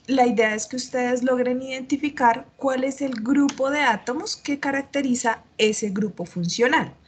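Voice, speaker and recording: A woman's voice: a little high, husky. Woman tutor with a sore throat. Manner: patient, explanatory, frequent filler sounds. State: slight cough, sore throat. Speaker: chemistry tutor. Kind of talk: online tutoring lecture. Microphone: computer mic on a video call.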